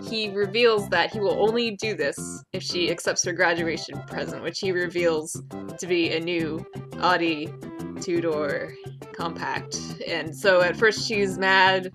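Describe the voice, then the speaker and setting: A woman co-hosting a casual podcast, reading a chapter summary with wry, sarcastic asides. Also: fairly low pitch. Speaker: podcast co-host.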